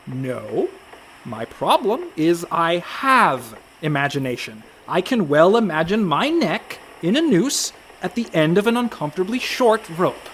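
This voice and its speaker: A man's voice: sits high, sing-song, a little slow, fairly bright tone, slight background noise, heavily acted. An actor in a comic radio play, playing a sardonic, fretful con man posing as a pirate merchant. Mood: dry worry. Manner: dry, exasperated, worrying.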